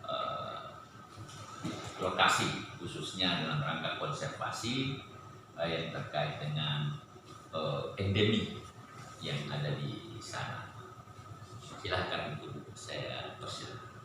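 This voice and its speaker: Male official, extremely low voice, slow and halting, informal welcome speech, heard from far off in a reverberant room. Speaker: vice regent opening a presentation. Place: large echoey indoor meeting room. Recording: very echoey hall, distant mic, noisy.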